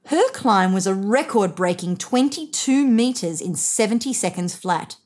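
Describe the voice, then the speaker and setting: Female storybook reader, thirties, mid-range voice, expressive read-aloud delivery for young children. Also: mid-range voice, unhurried. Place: indoors, seated on a sofa.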